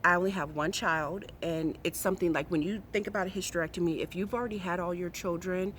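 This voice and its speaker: A woman's voice: lowish voice, fairly even intonation, fast, a bit bright, slight background noise. A woman in her forties talking frankly and chattily to her viewers in a casual personal vlog. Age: 40s. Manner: frank, chatty, emphatic, talks straight to viewers. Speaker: vlogger.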